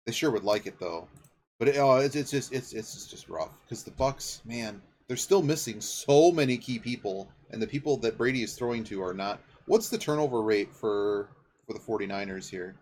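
A man's voice: lowish voice, animated, normal speed, darkish tone. Man talking football over faint noise. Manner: rambling, thinking aloud, checking facts mid-sentence. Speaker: sports podcast host. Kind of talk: casual off-the-cuff football commentary.

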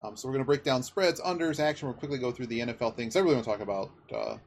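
A man's voice: mid-range voice, very fast, a little hiss or hum. A man hosting a casual sports betting chat, talking in a rambling, analytical way as he thinks aloud. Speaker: podcast host.

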